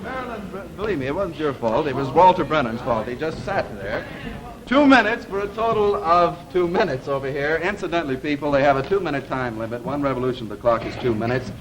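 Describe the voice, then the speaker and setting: A man's voice: a little high, animated, fast, a crowd in the background, poor audio. A man hosting a live TV game show with chatty, jokey, off-the-cuff banter and a showman's delivery. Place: television studio with audience. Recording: old TV studio soundtrack, audience behind.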